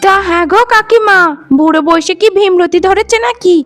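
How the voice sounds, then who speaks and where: A woman's voice: extremely high pitch, a little fast, faint music behind. A woman voicing a spiteful, bossy village woman in a cartoon, taunting, scolding and threatening. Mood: scornful, threatening. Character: spiteful, bossy younger village woman guarding her pond. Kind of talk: cartoon voice acting.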